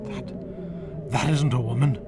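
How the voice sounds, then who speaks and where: An actor playing a panicked, guilty husband in 1940s radio horror style, melodramatic, stammering and dropping into breathless whispers. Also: male voice, on the high side, animated, takes it slowly, background noise. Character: panicked guilty husband confessing his crime. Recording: old 1940s radio broadcast, loud music behind.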